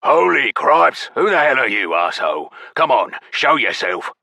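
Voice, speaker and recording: A man voicing a foul-mouthed, mocking goblin thief with a deep, hoarse growl and a coarse, slangy drawl. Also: very animated, unhurried, pushed and bright, dry recording, studio quality, exaggerated voice. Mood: furious.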